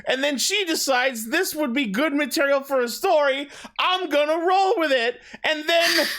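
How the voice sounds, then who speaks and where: This is a podcast host with a very high, androgynous voice. The talk is casual recap chat, full of swearing and delivered with exasperated disbelief. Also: fairly bright tone.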